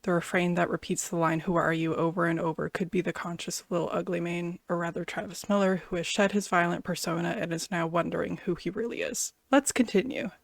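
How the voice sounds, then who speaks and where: A woman's voice: lowish voice, vocal fry, no echo, studio quality. A woman giving a casual, thoughtful music reaction and review, feeling her way through her impressions with a hesitant delivery.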